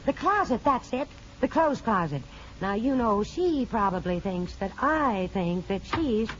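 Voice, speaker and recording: An actress playing a gentle, loving mother posing as a governess in a 1950s radio drama. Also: fairly high pitch, expressive, measured pace. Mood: playful. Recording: dull, hissy old radio recording.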